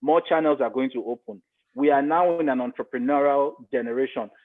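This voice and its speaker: A man's voice: medium pitch, a little fast. A middle-aged man with a Nigerian accent giving conversational commentary as an interview guest, emphatic and repeating phrases to press his point. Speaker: interview guest, media expert. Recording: phone-like thin radio-style sound.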